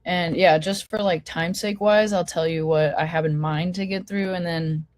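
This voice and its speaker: A woman with a hoarse voice from a cold, hosting an online meeting and walking attendees through a website in a casual, filler-heavy way. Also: lowish voice, steady tone, normal speed, darkish tone, dry recording. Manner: informal, lots of fillers and restarts. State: hoarse, getting over a cold. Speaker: forum host. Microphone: video call.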